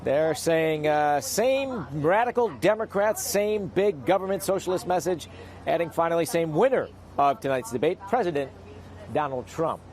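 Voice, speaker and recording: A man's voice: on the high side, animated, moderate pace, darkish tone. A man working as a TV news reporter, delivering a live report with punchy broadcast narration.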